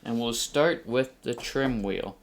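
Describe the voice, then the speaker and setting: A middle-aged man casually explaining a hands-on build, halting as he thinks aloud, in a small home room. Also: medium pitch, vocal fry. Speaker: host of a DIY flight simulator build channel. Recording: faint background room noise.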